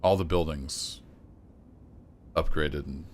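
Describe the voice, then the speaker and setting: A man streaming a city-building game, chatting casually and thinking aloud as he plays, his voice a little rough. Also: rumbling low, slow pace, a little hiss or hum. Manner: relaxed, thinking aloud with fillers and restarts. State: slightly rough voice. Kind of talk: casual gameplay commentary.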